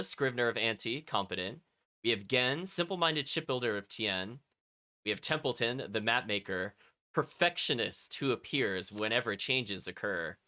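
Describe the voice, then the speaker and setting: A man's voice: mid-range voice, sharp tone. A man streaming a video game, reading its text aloud and chatting with casual asides to his viewers. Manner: chatty, often commenting as an aside.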